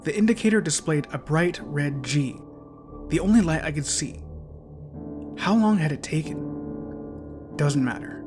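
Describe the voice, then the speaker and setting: A man's voice: a little high, lively intonation, a little slow, long pauses. A man reading a first-person horror story in a suspenseful, theatrical storytelling manner. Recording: music behind the voice. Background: clear background soundtrack music.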